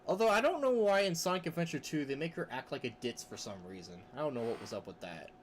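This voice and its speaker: A man's voice: big pitch swings, quick pace. Rambling male streamer, faint background hiss. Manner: rambling, with restarts and frequent laughs; says his voice range is pretty high. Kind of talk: casual livestream chat, reading chat aloud.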